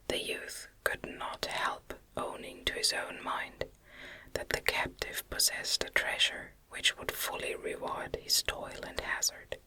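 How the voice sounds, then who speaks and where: A woman reading a gothic novel aloud as an ASMR narrator, in a gentle, measured whisper. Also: low-quality recording. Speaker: audiobook reader.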